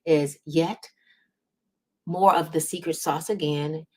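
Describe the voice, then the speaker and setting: Low-pitched woman giving motivational coaching. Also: fairly even intonation, slow, dark tone. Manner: emphatic, encouraging, often checks in with "right?" and "okay?". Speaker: business coach and series host. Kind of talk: motivational coaching talk to camera.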